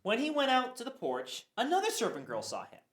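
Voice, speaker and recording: A woman giving a dramatic Bible reading, expressive, with occasional stumbles. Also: fairly high pitch, fairly quick. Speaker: scripture reader. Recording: roomy echo.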